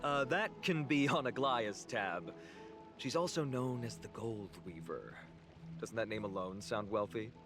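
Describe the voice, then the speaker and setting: A courteous young warrior in a video game, earnest and quietly troubled. His voice sits at a middling male pitch, sincere and a little hesitant, with music clearly playing behind it. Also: animated, measured pace. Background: background music.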